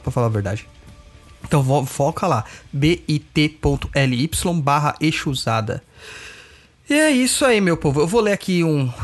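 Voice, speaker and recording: A man with a Brazilian Portuguese accent hosting a podcast, chatting informally with his nose stuffed up from a cold. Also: on the high side. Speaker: podcast host. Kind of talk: casual podcast update.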